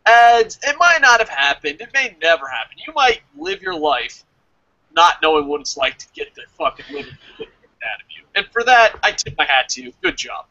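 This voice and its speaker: A man's voice: high-pitched, sharp tone, lo-fi sound. A man in casual, profane banter as co-host of a review show, ranting in exasperation and swearing often.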